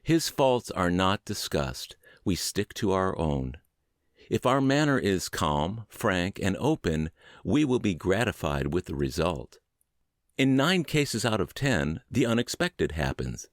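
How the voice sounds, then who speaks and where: A mature man reading a book aloud as scripted narration, in measured, deliberate phrases with frequent pauses. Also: sits low, big pitch swings, no echo, clean studio sound. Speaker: podcast narrator, reader.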